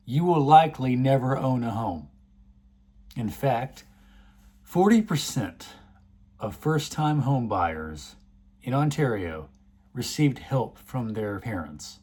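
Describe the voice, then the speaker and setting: A man's voice: medium pitch, darkish tone. A man delivering a scripted, earnest commentary, indignant about the issue he is laying out. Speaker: video essay host.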